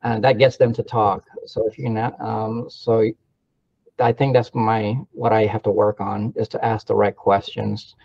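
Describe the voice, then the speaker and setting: A man from the Philippines chatting casually on a remote call as a mentee, haltingly thinking aloud. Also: lowish voice, little pitch movement, gravelly, darkish tone. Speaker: mentee learning real estate investing. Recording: muffled call audio with room echo.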